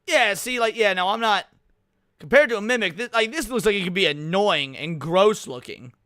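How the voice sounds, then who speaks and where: A man's voice: high-pitched, normal speed, bright, forceful tone, no room sound. A man reacting casually to a video on a livestream, talking in slang and swearing.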